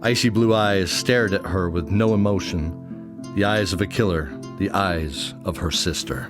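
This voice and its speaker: Male narrator, very low register, scripted fantasy radio play over clear music bed. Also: unhurried.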